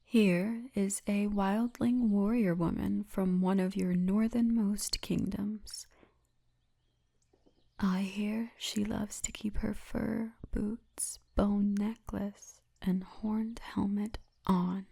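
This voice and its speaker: A woman's voice: mid-range voice, fairly even intonation, takes it slowly, gravelly, warm tone, slightly rough, full character voice. A woman playing a poised, seductive orc tour guide in an ASMR fantasy roleplay, teasing and often half-whispering. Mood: caring.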